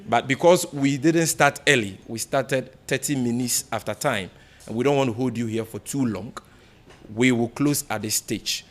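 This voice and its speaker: Male political spokesperson, Ghanaian accent, medium register, formal and deliberate press-conference delivery with emphatic repeats. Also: mid-range voice. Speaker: opposition party spokesperson at a press briefing. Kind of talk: press conference remarks, answering questions.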